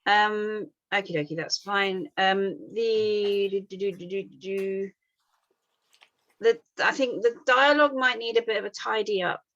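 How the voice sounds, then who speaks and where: A woman's voice: mid-range voice, measured pace, a bit bright, lo-fi sound. A woman giving informal feedback as a script panel reader, hesitant, rambling and apologetic. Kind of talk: informal spoken critique.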